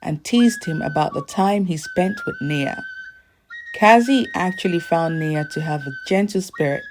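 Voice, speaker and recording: A woman reading a scripted folktale as a storyteller, in a measured storybook delivery. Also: lowish voice, over music, rough recording.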